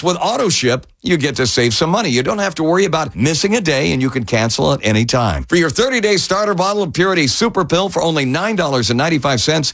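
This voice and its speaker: A man hosting a radio infomercial, delivering an emphatic scripted sales pitch. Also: fairly bright tone, dry recording, studio quality.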